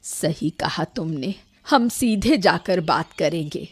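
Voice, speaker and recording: A woman playing a caring, practical old village aunt in a Hindi animated moral story, speaking in a measured, plain way. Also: on the low side, dry recording, full character voice. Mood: worried.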